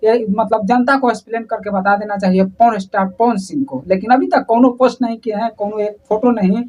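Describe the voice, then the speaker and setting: A woman's voice: mid-range voice, a little fast, mellow. A woman with a Bihari accent giving informal, opinionated news commentary, talking straight to viewers in a colloquial, repetitive way. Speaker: online news presenter.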